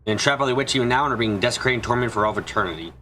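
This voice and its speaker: Male fan-dub narrator for a visual novel: brisk pace, forceful bright tone, expressive read. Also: mid-range voice, soft background music. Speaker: fan dubber reading a visual novel aloud. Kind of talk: scripted narration with character dialogue, fan dub.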